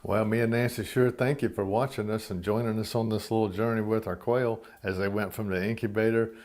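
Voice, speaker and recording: A man's voice: fairly low pitch, steady tone, brisk, darkish tone, soft background music. A man narrating a homemade hobby video in a plain, folksy way. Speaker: hobby quail keeper presenting a video.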